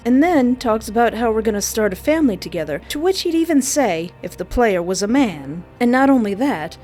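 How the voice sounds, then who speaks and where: A woman's voice: fairly high pitch, big pitch swings, normal speed, a little mellow. Theatrical woman hosting over music. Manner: theatrical, dramatic emphasis on single words. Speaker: video host, visual novel streamer. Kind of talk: scripted video essay commentary with comic asides. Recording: background music under voice.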